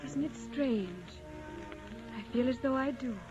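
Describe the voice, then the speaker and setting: A woman's voice: a little high, unhurried, natural voice. An actress playing a romantic, restless young society lady in an old-time radio drama, dreamy and playful. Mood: shy, intrigued. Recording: dull old radio recording with music.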